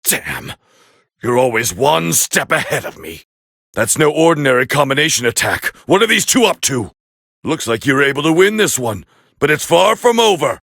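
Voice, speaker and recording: A man voicing a proud, scornful alien warrior prince as battle commentary in an anime fighting game, with a harsh, gravelly growl and a haughty, clipped delivery. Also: medium pitch, big pitch swings, normal speed, fairly bright tone, no echo, clean studio sound, exaggerated voice. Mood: tense, wary.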